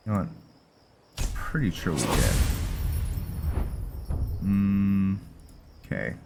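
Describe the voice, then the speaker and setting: Slow, low-voiced man doing game commentary. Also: very animated, music in the background. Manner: rambling, thinking aloud. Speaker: gaming channel host. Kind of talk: casual game roleplay commentary. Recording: clearly noisy background.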